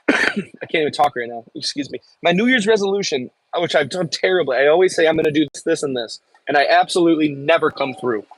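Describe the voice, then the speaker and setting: A man with a Michigan accent chats loosely on his livestream, answering viewers' comments as they come in. His voice sits a little high for a man, and the sound is dry and close, with no room echo. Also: expressive, fast. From Michigan, US Midwest. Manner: rambling, reads and answers chat aloud. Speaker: livestream host. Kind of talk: casual live-stream chat.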